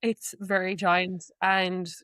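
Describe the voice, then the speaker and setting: A woman's voice: lowish voice, flat delivery, slow, fairly bright tone, smooth, no echo, studio quality. A woman giving conversational, earnest explanations as an interview guest. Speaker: guest, AI knowledge manager.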